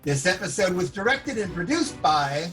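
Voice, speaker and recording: Male announcer for web series credits, animated and swooping delivery. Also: high-pitched, moderate pace, soft background music.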